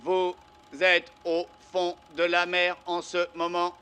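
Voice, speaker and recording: Flat, very slow robot. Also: female voice, fairly low pitch, noisy background. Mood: mechanical. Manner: flat, mechanical, halting. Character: dim toy robot answering questions wrongly. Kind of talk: animated cartoon dub.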